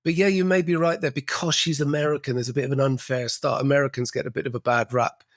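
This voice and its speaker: A man's voice: medium pitch, fairly even intonation, fast talker, no room sound, clean studio sound. A middle-aged man, talking reflectively as a podcast guest with frequent restarts. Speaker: podcast guest, cultural coach. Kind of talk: casual interview conversation.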